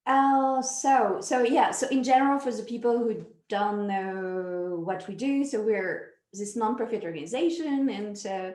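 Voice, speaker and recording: A woman from France with a French accent, chatting as an interview guest, unhurried and full of fillers. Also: medium pitch, darkish tone. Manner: unhurried, many fillers and restarts. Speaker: guest, nonprofit founder. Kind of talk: casual interview chat. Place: home in Zurich. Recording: echoey room.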